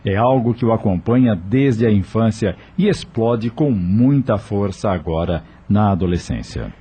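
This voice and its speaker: A man narrating a radio drama from a script, in an expressive, dramatic storytelling manner. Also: lowish voice, a little slow, slightly rough.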